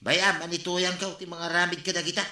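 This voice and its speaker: An actor playing an idle, wheedling husband who gossips, in an Ilocano radio comedy drama with an animated, exclamatory delivery. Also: male voice, high voice, fairly quick, reverberant, very noisy, natural voice. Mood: teasing, gossipy.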